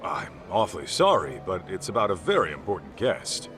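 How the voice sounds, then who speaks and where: A man's voice: low voice, sing-song, fairly quick, a bit bright, faint music behind. An actor playing a man who questions people politely and persistently in a game dub. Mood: earnest.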